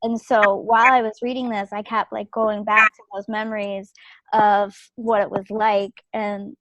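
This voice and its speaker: A woman's voice: medium pitch, flat delivery, normal speed, fairly bright tone, dry recording, dull audio. A woman talking casually and emphatically in a group feedback discussion on a video call. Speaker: table read participant giving feedback.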